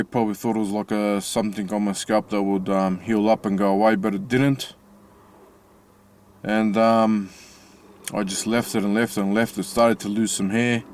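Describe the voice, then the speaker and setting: Slow-talking Australian man, smooth voice. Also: fairly low pitch, darkish tone. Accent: Australian with Italian-family influence. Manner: halting, many fillers and restarts. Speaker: vlogger. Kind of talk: casual personal vlog. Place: inside a parked car.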